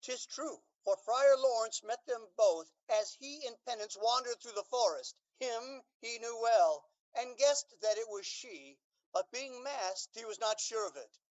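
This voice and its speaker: A woman's voice: a little high, lively intonation, a little slow, hardly any pauses, a little mellow. A woman playing a commanding older male Duke, urgently issuing orders. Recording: dull lo-fi tape.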